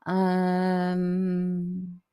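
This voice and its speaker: A woman's voice: on the low side, monotone, very slow, clean tone, no room sound, studio quality. A woman with a soothing voice hosting a casual livestream, chatting with viewers and reading their comments with lots of fillers. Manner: chatty, warm toward viewers, many fillers. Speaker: livestream podcast host.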